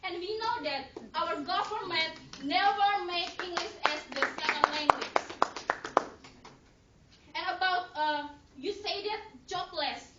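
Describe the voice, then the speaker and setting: Woman, very high voice, slow halting debate speech, non-native English, far from mic, echoey, dull lo-fi. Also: background noise. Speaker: debater, third speaker of negative team.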